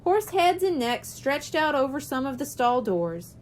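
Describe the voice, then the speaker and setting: Woman reading a kids audiobook, high voice, lots of up and down. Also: big pitch swings, a little slow, slight background noise. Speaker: audiobook reader. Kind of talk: children's storybook narration.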